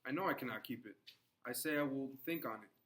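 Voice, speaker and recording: A man's voice: medium pitch, quick pace, a bit bright, close to an everyday voice. A man reading the part of a proud, defensive Puritan husband in a play, stern and exasperated. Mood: reluctant. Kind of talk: classroom play read-aloud.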